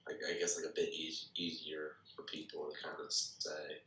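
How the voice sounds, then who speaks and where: A man's voice: extremely low pitch, completely flat delivery, moderate pace, sharp tone. A man giving casual feedback in a hesitant way, repeating himself as he searches for words. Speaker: participant giving script feedback. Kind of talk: casual chat. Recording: echoey room with faint noise.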